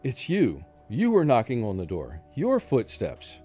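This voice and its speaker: An actor playing a tormented, fearful professor who narrates his own story in a radio play, with an anguished, halting delivery. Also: mid-range voice, wildly swooping pitch, dark tone, breathy edge, heavily acted. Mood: frantic. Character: tormented, fearful male professor under a deadly curse. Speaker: narrating lead in a radio drama. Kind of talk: dramatic first-person radio play narration. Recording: prominent music under the voice.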